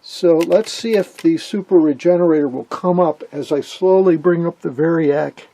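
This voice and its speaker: A man with a husky voice giving a casual, hands-on technical commentary, thinking aloud as he works. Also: a little high, moderate pace, warm tone, no room sound, low-quality recording. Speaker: host of an electronics repair vlog.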